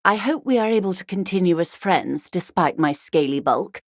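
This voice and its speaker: A woman playing a wry, urbane, bourgeois negotiator in a video game, speaking in a measured, genteel, faintly ironic way. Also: lowish voice, lively intonation, no echo, studio quality. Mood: wry, amused. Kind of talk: video game character dialogue.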